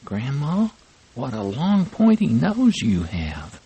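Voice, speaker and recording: A man's voice: mid-range voice, huge pitch swings, slow pace, warm tone, husky, dry recording, pitched above the performer's own voice, heavily acted. A man voicing a small sweet young girl who speaks innocently and with wonder.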